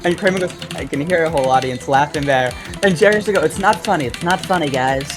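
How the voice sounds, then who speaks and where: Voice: medium pitch, fast talker. A speaker chatting casually over gameplay, retelling things in a rambling way and acting out quotes. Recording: loud game music behind the voice. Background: video game music.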